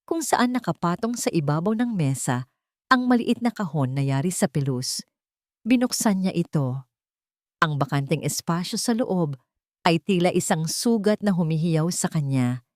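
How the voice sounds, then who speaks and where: A woman storyteller with a Filipino accent narrates a scripted drama. Her voice sits slightly low for a woman, and the sound is very dry, with hardly any room to it. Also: animated, moderate pace, a little mellow, no echo.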